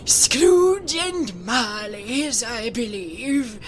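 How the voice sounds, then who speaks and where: A woman voicing a courteous gentleman collecting donations for the poor, with a formal, polite manner. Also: fairly high pitch, lively intonation, very slow, slight background noise, voice pushed up. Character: polite, well-meaning gentlemen collecting for charity.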